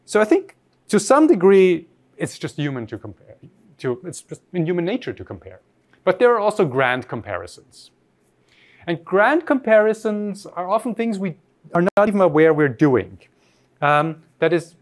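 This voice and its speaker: Guy giving a lecture, kinda high voice that jumps around a lot, thinks out loud and restarts sentences. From Austria. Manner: thoughtful, rephrases mid-sentence, asks the audience questions. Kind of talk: academic lecture.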